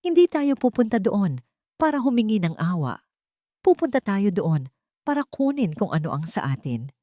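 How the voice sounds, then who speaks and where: A woman voicing a determined, vengeful mother in an audio story, firm, dramatic and deliberate. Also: mid-range voice, very animated, dark tone, dry recording, clean studio sound, lightly acted. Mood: brave, resolute.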